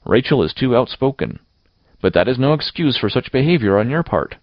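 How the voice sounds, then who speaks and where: A man's voice: mid-range voice, animated, a little fast, no echo, lo-fi sound, pitched above the performer's own voice, lightly acted. A man voicing a severe, dry middle-aged woman guardian who scolds in a storybook reading. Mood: firm.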